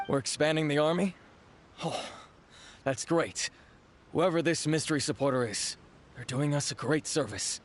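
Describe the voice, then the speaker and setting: An actor playing a polite, dutiful and deferential resistance officer in a video game, speaking expressively. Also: male voice, a little high, moderate pace, a little hiss or hum, lightly acted. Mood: surprised. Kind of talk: scripted video game dialogue.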